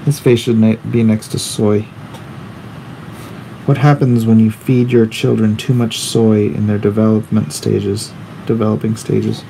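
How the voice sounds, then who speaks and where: A man's voice: fairly low pitch, little pitch movement, measured pace, gravelly, mellow, slight background noise, poor audio. A man in his forties chatting casually on a live drawing stream, slangy and offhand as he reads and answers viewer comments. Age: 40s. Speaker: comic artist hosting a live drawing stream. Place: indoors at a drawing desk.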